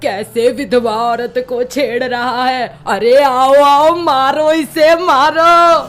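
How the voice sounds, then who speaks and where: A woman's voice: sits high, steady tone, takes it slowly, fairly bright tone, faint music behind. A woman acting a domineering older mother-in-law in a melodramatic story. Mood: furious, scolding. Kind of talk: melodramatic Hindi story dialogue.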